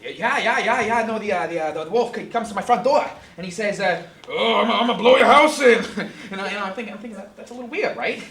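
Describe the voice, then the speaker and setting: An actress playing a frazzled, jittery talking pig in a comic play, stammering and repeating words, in an echoey room. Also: female voice, medium pitch, fast talker, few pauses, a bit bright, lightly acted. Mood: flustered, rambling. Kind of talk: comic stage-play acting.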